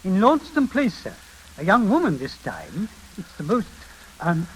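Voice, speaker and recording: An actor playing a deferential, anxious manservant in old-time radio suspense, formal and prone to flustered stammering. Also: male voice, high voice, wildly swooping pitch, moderate pace, breathy edge, heavily acted. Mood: formal, reporting. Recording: hissy, crackling old radio broadcast.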